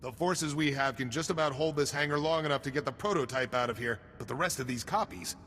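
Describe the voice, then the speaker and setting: An actor playing an earnest, conscientious military engineer in a video game, frank and urgent in delivery. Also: male voice, on the high side, fast talker, a bit bright, long reverb tail, slight background noise, lightly acted. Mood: urgent, frustrated.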